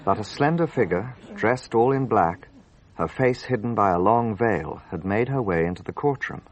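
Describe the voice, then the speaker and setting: An actor playing an earnest, impulsive gentleman who narrates a radio drama, with a husky voice. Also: on the low side, expressive, normal speed, rough recording. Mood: grave narration.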